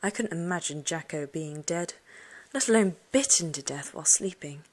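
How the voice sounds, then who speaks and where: A woman with a low voice narrates a children's story in the first person. Her reading is highly animated and suspenseful, moving between expressive emphasis and hushed tones. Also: normal speed. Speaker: audiobook narrator.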